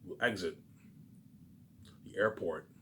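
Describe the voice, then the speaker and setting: A man's voice: low-pitched, slow, pushed and bright, slight background noise. A man delivering a rambling, casual monologue, repeating himself emphatically, swearing and often tacking on questions for agreement. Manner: repetitive, emphatic, swearing, frequent tag questions.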